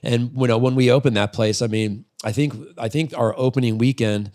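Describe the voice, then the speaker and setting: A man's voice: mid-range voice, fairly even intonation, a little fast, darkish tone. A man in his forties talking casually as an interview guest, candid and rambling, swearing freely. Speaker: podcast guest, retired freestyle motocross rider and business owner. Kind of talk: casual long-form storytelling in an interview.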